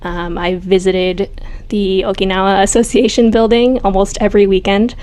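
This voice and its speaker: A young woman from Los Angeles, an audio editor guest-hosting a podcast, talking casually and softly with small laughs. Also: medium pitch, level tone, normal speed. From Los Angeles, United States.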